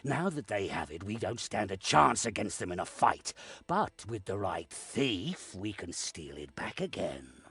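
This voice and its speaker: Stern commanding lord, deliberate, rough husky tone, animated intonation, game cutscene. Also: male voice, lowish voice, no echo, faint music behind, full character voice. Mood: measured, knowing. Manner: authoritative, deliberate. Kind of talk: video game cutscene acting.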